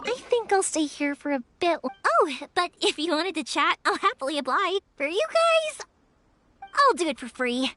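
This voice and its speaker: A high, bouncy young woman from an anime game, a fireworks maker with a big-sister streak. Her delivery swings up and down, earnest one moment and playfully teasing the next. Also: big pitch swings, normal speed, bright, forceful tone, full character voice. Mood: friendly, bargaining.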